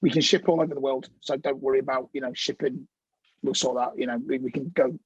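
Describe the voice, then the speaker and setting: A man chatting casually as a guest on a video call, relaxed, with frequent fillers. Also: mid-range voice, fast talker, husky, dry recording, dull audio. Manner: relaxed, filler-heavy, laughs easily.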